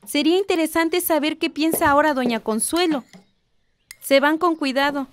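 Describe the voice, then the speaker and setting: Actriz como madre mexicana de voz aguda. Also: female voice, sits high, moderate pace, close to an everyday voice. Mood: matter-of-fact. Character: concerned, cautious village mother and organizer. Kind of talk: radio drama acting.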